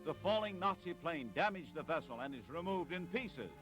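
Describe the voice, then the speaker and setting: A man delivers 1940s newsreel narration in a fairly high voice. His phrasing is clipped and declamatory, set over prominent music on a vintage soundtrack. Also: animated, normal speed.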